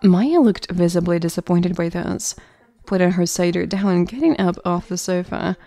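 Lower-pitched woman narrating a story. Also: normal speed, mellow, dry recording.

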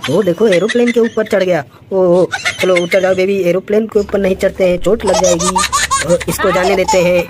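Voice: mid-range voice, fairly even intonation, brisk. A host narrating toy play for children in a playful, exclamatory way, full of repeated little cries of wonder. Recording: very noisy background.

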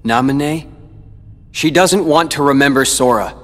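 A man's voice: medium pitch, normal speed, a bit bright, lightly acted. A man voicing a protective, brooding teenage boy warrior in a video game, earnest and intense. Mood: protective, determined. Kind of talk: video game cutscene acting.

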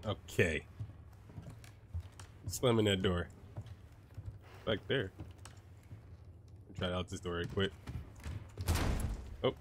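Young dude w a stuffy cold, rambling game commentary, faint keyboard taps. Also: male voice, sits low, very slow, long pauses, slight background noise. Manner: rambling, with lots of "bro" and "like". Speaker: gameplay streamer. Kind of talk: casual gameplay commentary. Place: his own room, lights off.

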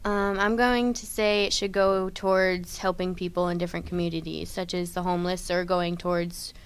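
A woman's voice: fairly low pitch, little pitch movement, normal speed, clear, resonant tone. A woman in her twenties casually giving her opinion in an indoor interview with a handheld microphone. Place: indoor room with brick wall. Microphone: handheld microphone held out by interviewer.

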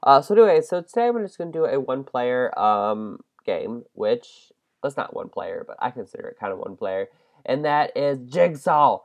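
Congested, excitable young man gaming. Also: medium pitch, normal speed. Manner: excitable, self-deprecating, shrieks at mistakes. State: congested from a head cold. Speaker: gaming channel host. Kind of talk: casual Minecraft let's-play commentary.

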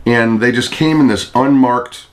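A man's voice: medium pitch, a little slow. A middle-aged man hosting a casual home video, joking and teasing as he sorts through a package at a table. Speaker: channel host. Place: living room at home.

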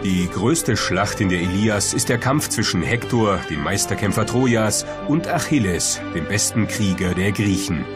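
Low-voiced male documentary narrator. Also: animated, moderate pace, hardly any pauses, over music.

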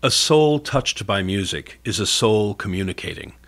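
Low-pitched American male narrator. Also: animated, normal speed, fairly bright tone. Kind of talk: scripted documentary narration. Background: background music.

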